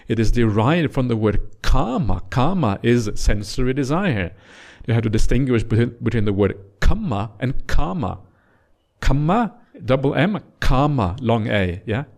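A middle-aged man from Norway, a native Norwegian speaker, teaches a meditation retreat in a gentle, teasing way. His voice has a gravelly, creaky edge and sits at an ordinary pitch. He pads his points with relaxed uhs and yeahs. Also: normal speed. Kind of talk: dharma talk.

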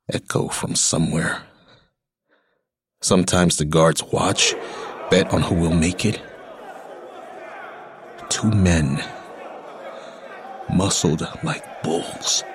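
An actor playing a weary, tender middle-aged uncle haunted by prison in a radio play, confiding haltingly and wistfully. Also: male voice, low-pitched, noisy background, exaggerated voice. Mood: grim, haunted.

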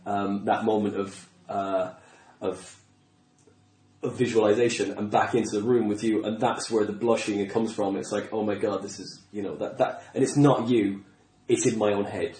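A man hosting a casual podcast chat, bantering in a halting, self-conscious way. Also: on the low side, fairly even intonation, a little mellow. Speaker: podcast host. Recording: echoey room.

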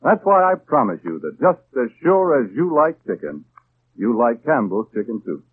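A man's voice: mid-range voice, sing-song, moderate pace, breathy edge. A man reading a 1930s radio sponsor message as an announcer, folksy, persuasive and emphatic. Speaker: commercial announcer. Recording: muffled, band-limited old radio broadcast.

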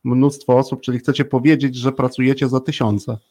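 Gravelly-voiced man hosting a chatty interview. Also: mid-range voice, little pitch movement, a little fast, a little mellow. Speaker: podcast host. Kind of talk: casual conversational interviewing.

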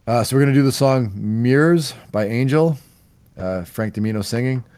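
A man co-hosting a music podcast, presenting a countdown of songs in casual chat, relaxed and laughing now and then. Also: mid-range voice, unhurried, smooth. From Canada. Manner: relaxed, laughing, slight stumbles over words.

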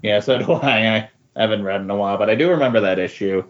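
A man chatting casually on a comics podcast in a halting, tentative way, often saying "like" as he pieces thoughts together. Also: lowish voice. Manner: halting, tentative, peppers speech with "like". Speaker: podcast co-host discussing comics. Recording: dull lo-fi voice recording.

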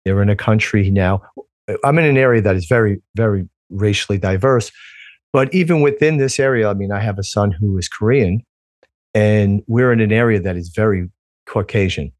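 A man with a North Jersey accent hosting a podcast, asking questions haltingly and searching for his words. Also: on the low side, darkish tone, dry recording, clean studio sound. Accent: American, North Jersey. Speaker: podcast host. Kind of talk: casual interview talk.

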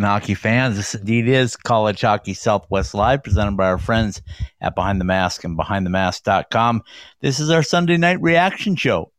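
A man hosting a sports talk show, chatting loosely with a slightly hoarse voice. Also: medium pitch, no echo, clean studio sound. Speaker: show host. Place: home studio in Scottsdale.

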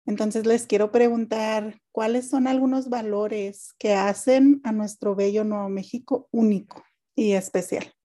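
Calm, mellow-voiced woman podcast host. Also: medium pitch, unhurried. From Mexico. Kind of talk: scripted podcast introduction and moderating.

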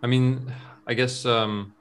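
A man's voice: mid-range voice, fairly even intonation, smooth. Guy hosting a podcast, medium voice, laughy, keeps restarting his sentences. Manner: halting, laughs often, many restarts. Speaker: podcast host. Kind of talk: casual interview chat.

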